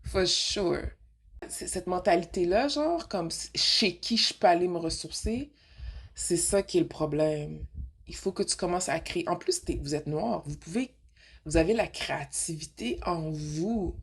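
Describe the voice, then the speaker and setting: A woman's voice: fairly low pitch, expressive, a little slow, husky. A woman with a Québécois accent hosting a casual radio monologue, rambling and frank, slipping into English mid-sentence and asking rhetorical questions. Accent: Québécois French. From Quebec. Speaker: radio show host.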